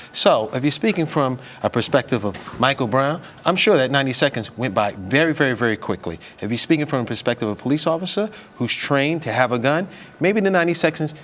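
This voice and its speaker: Male attorney pundit, medium pitch, argumentative studio commentary with emphatic repeats. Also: fairly bright tone, a little hiss or hum. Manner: argumentative, emphatic repetition, rhetorical questions. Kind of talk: televised panel commentary. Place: television studio.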